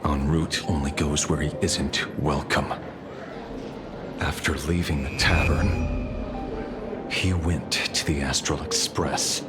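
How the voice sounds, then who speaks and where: An actor playing a grave, enigmatic leader of rangers in a video game, speaking in a slow, measured way. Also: male voice, rumbling low, steady tone, music in the background. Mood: grave, reflective.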